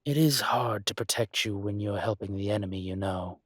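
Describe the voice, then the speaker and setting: A man performs a male dragon shifter who rules as a mafia boss. His voice is low and husky, and he takes his time, leaving deliberate pauses. He sounds smug and teasing yet protective, recorded close and dry like an intimate audio drama. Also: no room sound, lightly acted. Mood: fond exasperation. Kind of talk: slow intimate roleplay audio acting.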